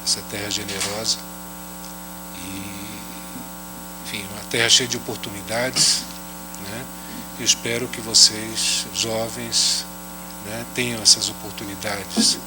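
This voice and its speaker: Panelist, man, lowish voice, non-native Portuguese speaker, steady hum in the background. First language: not Portuguese. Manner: unhurried, adds "né?" after many phrases. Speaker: round-table panelist. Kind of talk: speech at an academic round table. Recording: audible hum under the voice.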